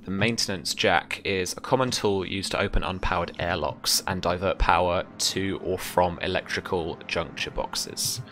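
A man in his thirties narrating a tabletop game as game master, with a hesitant, halting delivery. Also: sits low, fairly bright tone. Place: home room, indoors.

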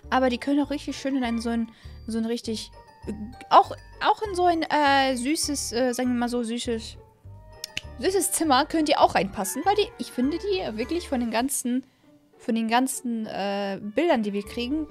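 Hohe, aufgeregte junge Frauenstimme. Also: female voice, on the high side. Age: young adult. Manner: excitable, repeats phrases, stumbles over words. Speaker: gaming video host. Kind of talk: casual gameplay commentary. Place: small room at a gaming setup, indoors. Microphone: close studio mic on boom arm.

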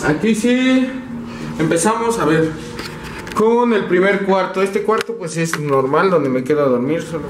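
A man's voice: high-pitched, measured pace, recorded from a distance, background noise. A young man with a Mexican Spanish accent giving a casual, slangy tour of his small apartment to his viewers. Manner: slangy, energetic, talks to viewers as "banda". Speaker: vlogger. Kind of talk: casual house tour vlog. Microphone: handheld camera mic. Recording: echoey room, a bit off-mic.

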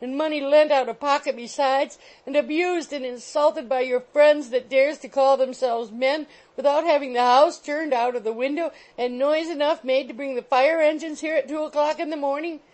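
Woman acting out a shrill, furious scolding landlady. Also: steady tone, a little mellow, natural voice, poor audio. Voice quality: shrill, screaming. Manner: rapid, contemptuous haranguing.

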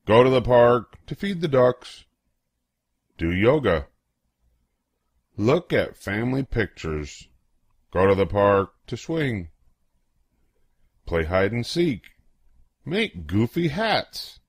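An older man reading a children's picture book aloud in a playful, expressive way, acting out exclamations and questions. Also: mid-range voice, slow, long pauses, husky, no room sound, clean studio sound.

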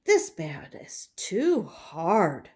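A woman voicing Goldilocks, a small girl in a storybook, speaking slowly and at times in a whisper. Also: on the low side, huge pitch swings, a little mellow, full character voice. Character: sweet little girl intruder Goldilocks.

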